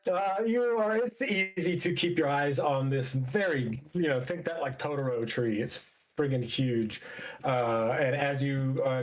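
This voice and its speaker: A man acts as game master for a tabletop roleplaying session. He speaks casually and haltingly, feeling his way through descriptions at a middle pitch.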